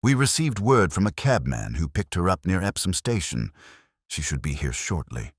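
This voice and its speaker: Man reading as a very deep-voiced police inspector. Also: sing-song, normal speed, husky, no echo, studio quality, exaggerated voice. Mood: businesslike. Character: brisk, businesslike male Victorian police inspector.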